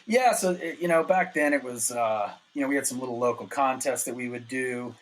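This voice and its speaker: A man's voice: mid-range voice, a little fast, slight background noise. Laid-back middle-aged man telling stories. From North Carolina. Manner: laid-back, rambling, laughs often. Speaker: podcast guest, former pro snowboarder. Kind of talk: casual storytelling.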